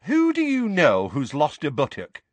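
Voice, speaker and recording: A man's voice: fairly high pitch, huge pitch swings, unhurried, no room sound, pitched above the performer's own voice, heavily acted. A man doing a perky young witch. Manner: perky, cheeky. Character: young woman, bold cheerful witch. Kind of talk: audiobook character voice.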